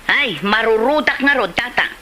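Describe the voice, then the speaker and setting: A woman's voice: fairly high pitch, moderate pace, bright, forceful tone. A woman with an Ilocano accent playing a touchy, haggling village housewife who complains indignantly in a comedy drama.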